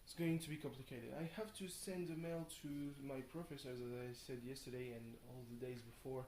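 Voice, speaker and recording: A tired young man rambles casually through a video diary, halting and thinking aloud, often questioning himself. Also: medium pitch, steady tone, a little mellow. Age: young adult. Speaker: video blogger. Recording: roomy echo, faint background noise.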